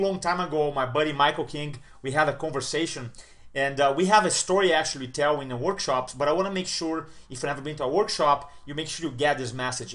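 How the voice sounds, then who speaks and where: A man in his forties with a slightly raised voice delivers a motivational talk to camera. He is fired up, stacks repeated superlatives and moves from big loud peaks down to hushed, serious lines. He sounds like a coach rallying his audience. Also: a little high. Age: 40s. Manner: enthusiastic, repeats words for emphasis, swings loud and soft.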